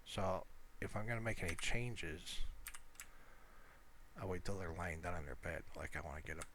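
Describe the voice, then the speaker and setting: Male gamer, deep bright voice, unhurried casual game walkthrough, some background noise. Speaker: gamer narrating own gameplay. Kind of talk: casual gameplay commentary.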